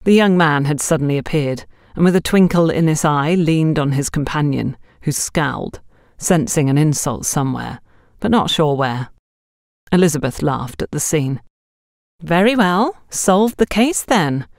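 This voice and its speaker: A woman's voice: sits low, moderate pace, vocal fry, a little mellow, dry recording, clean studio sound. A woman reading a scripted story as an audiobook narrator.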